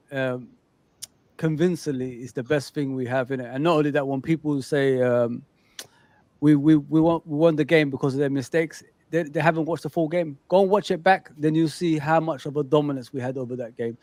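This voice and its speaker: A man's voice: fairly high pitch, fairly even intonation, brisk, darkish tone, no echo. A man, a guest on a fan chat show, talking emphatically and repeating his points.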